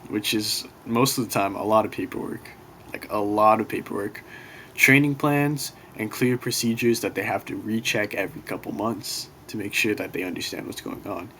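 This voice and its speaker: Quiet, low-voiced young man presenting. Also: normal speed. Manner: quiet, self-conscious, apologetic, loose and rambling. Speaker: student presenting a class project. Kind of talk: casual recorded class presentation. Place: bedroom in an apartment next to a street. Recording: faint street noise.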